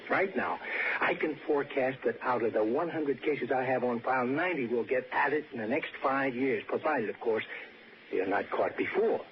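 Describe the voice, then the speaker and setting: An actor playing a grave, obsessive crime forecaster with a deliberate, theatrical delivery in a 1960s radio suspense drama. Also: male voice, medium pitch, lightly acted. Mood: intense, confident. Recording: slightly noisy, muffled 1960s radio recording.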